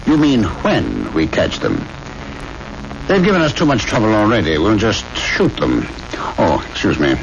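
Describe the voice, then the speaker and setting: An actor playing a brisk military lieutenant in a 1970s radio drama, commanding and sardonically familiar, probing with genial menace. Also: male voice, fairly low pitch, wildly swooping pitch, vocal fry, exaggerated voice. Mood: firm, then genial. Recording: dull, very noisy old radio broadcast.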